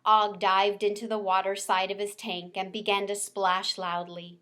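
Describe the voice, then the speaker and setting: A woman's voice: mid-range voice, level tone, normal speed, fairly bright tone. A woman narrating a children's book as a read-aloud storyteller.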